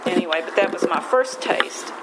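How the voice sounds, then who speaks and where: A woman's voice: lowish voice, expressive, moderate pace, very noisy. A woman from West Virginia with a West Virginian accent, giving a folksy, rambling personal recovery share full of restarts and self-deprecating asides. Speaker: speaker at a recovery meeting.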